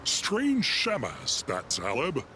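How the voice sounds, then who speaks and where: An actor playing an exasperated, wry alien villager in a video game, with a gossipy way of talking. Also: male voice, medium pitch, huge pitch swings, takes it slowly, bright, forceful tone, slight background noise, full character voice. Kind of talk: video game cutscene acting.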